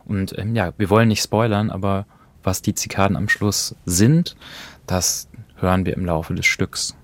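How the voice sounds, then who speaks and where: A man's voice: low voice, steady tone, normal speed, dry recording. A man speaking thoughtfully and a little hesitantly as he hosts a conversational radio introduction. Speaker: podcast host.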